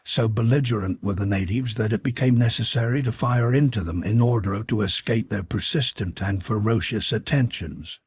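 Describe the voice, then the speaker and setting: Male audiobook narrator for literary adventure fiction: low-pitched, husky, recorded close and dry. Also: normal speed, few pauses, darkish tone, no echo.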